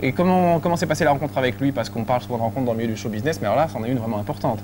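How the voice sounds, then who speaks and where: Brisk chatty TV host, fast talker. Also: male voice, medium pitch. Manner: brisk, chatty, quick with asides. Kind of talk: studio interview. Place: TV studio set. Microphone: lapel mic. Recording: noisy 1980s TV broadcast tape.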